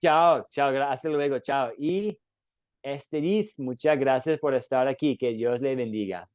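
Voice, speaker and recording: A man in the middle of his range teaches a Bible class over a video call. He talks gently and pauses with small fillers. The sound is close and dry, with no room around it. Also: medium pitch, smooth, dry recording. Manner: gentle, with frequent fillers and restarts. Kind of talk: closing an online class with a prayer.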